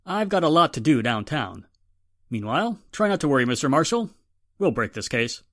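A man playing a businesslike police inspector in 1940s radio drama style, brisk and matter-of-fact. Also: a little high, very animated, a bit bright, no echo, studio quality.

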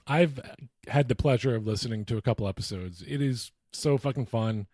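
A man's voice: medium pitch, a little fast, darkish tone, dry recording. A man co-hosting a podcast in casual chat, joking and swearing freely. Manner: profane, joking. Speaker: podcast co-host.

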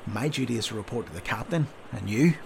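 A loud, sardonic army sergeant, as a male narrator puts him on, barking orders in a slow, mocking drawl. The voice has a gravelly edge, read as a storybook character, with a faint hiss behind it. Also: lowish voice, animated, rough recording. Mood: gruff orders. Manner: loud, sardonic, drawn-out scolding. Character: gruff blustering male army sergeant, sarcastic. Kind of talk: audiobook character voice.

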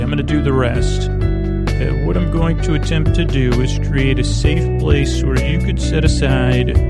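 A man with a creaky voice hosting a bedtime podcast in a meandering, halting, earnest monologue that keeps interrupting itself. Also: mid-range voice. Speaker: podcast host.

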